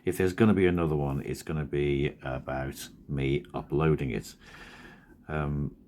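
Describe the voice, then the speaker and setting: A man with a croaky, husky voice from a cold, talking in a rambling, drowsy, self-correcting way as a cartoonist vlogger. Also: extremely low pitch, steady tone, normal speed, darkish tone, slight background noise. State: hoarse from a cold. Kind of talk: casual studio vlog talk.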